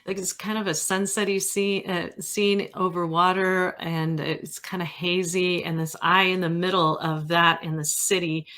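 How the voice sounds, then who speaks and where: A woman's voice: lowish voice, level tone, measured pace, hardly any pauses, a bit bright. A woman hosting a casual interview in a chatty, informal way. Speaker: interview host.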